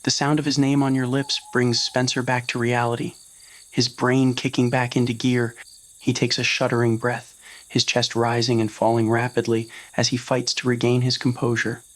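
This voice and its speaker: Casting: narrator with a medium-low, unclear-gendered voice for scripted romantic roleplay fiction. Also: flat delivery, moderate pace. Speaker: narrator of fan roleplay audio stories.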